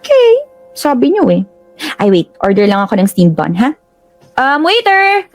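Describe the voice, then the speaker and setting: A sassy, exasperated young Filipina daughter in a radio drama. Her voice is fairly high and animated, blunt and sarcastic, with music underneath. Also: moderate pace. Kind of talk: Tagalog radio drama acting. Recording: music bed under dialogue.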